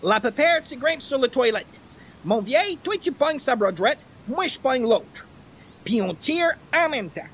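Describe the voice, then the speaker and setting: A man's voice: very high voice, expressive, unhurried, fairly bright tone, slight background noise, full character voice. An actor playing a brash, folksy handyman in a radio comedy, slangy, with a Quebec French accent and English words mixed in. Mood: bossy, practical. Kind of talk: old-time radio comedy acting.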